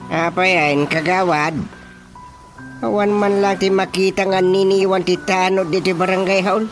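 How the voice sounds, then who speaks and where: A man playing an easily flustered village chairman in a radio drama comedy, with an Ilocano accent and exclamatory, drawn-out reactions. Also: sits high, level tone. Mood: puzzled. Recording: music under the voice.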